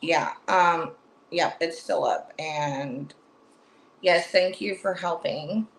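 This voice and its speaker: A woman's voice: low-pitched, takes it slowly, creaky, low-quality recording. A woman hosting a casual livestream chat, chatty, with lots of fillers and restarts. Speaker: livestream host.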